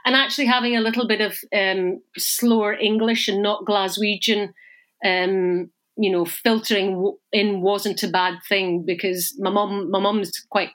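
A woman's voice: medium pitch, fairly even intonation, unhurried, a bit bright. A middle-aged Scottish woman with a Scottish accent, talking as an interview guest in a chatty, reflective way, often restarting and repeating words. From Scotland.

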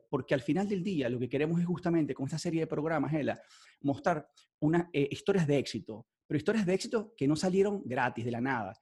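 A man's voice: fairly high pitch, darkish tone, husky. A man hosting a podcast, asking his guest long, effusive questions. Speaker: podcast host. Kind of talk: podcast interview.

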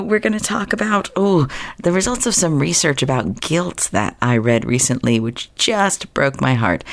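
Woman host with a cold, voice all low and croaky, gravelly fry, chatty and laughs a lot. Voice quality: husky low voice. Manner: chatty, laughs, restarts words. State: sick, voice deeper than usual. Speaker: podcast host. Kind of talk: casual podcast talk.

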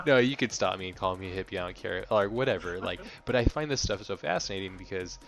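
Laid-back, low-voiced male podcast host. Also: animated, fast talker, gravelly. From Hawaii. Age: 30s. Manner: swears freely, laughs often, rambling. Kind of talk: casual chat.

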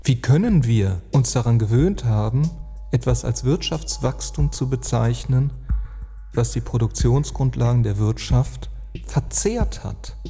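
A man narrating an earnest, reflective spoken essay, phrasing deliberately and emphatically with rhetorical weight. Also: medium pitch, warm tone, slightly rough. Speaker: speaker and author on climate and sustainability. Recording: long reverb, music underneath. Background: music.

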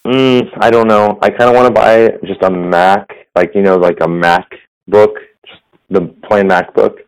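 A slightly hoarse man hosting a casual tech podcast over a phone line, rambling with fillers and restarts. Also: on the low side, fairly even intonation, unhurried. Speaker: podcast host. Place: business center. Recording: phone-quality audio.

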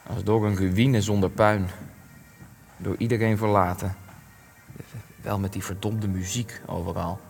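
A frail old war veteran in a radio play. His voice sits fairly low and he muses haltingly, wistful and bitter, repeating himself. Faint music plays behind him. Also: long reverb tail. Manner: hesitant, rambling, musing, with stammered repetitions. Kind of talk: radio drama acting.

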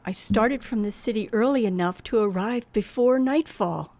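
A woman's voice: fairly high pitch, normal speed, darkish tone, natural voice. An actress playing a nervous young woman who tells her own tale of driving alone at night in an old radio thriller. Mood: uneasy. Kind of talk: old-time radio thriller acting, first-person narration. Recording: noisy old radio drama recording.

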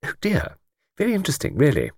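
A man voicing a gentle, chatty old English lady in an audiobook, with a thin, quiet voice and a hesitant, rambling, genteel manner. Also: fairly high pitch, wildly swooping pitch, fast talker, no room sound, studio quality, voice pushed up, exaggerated voice. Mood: chatty, fluttering. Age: older. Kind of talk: audiobook character reading.

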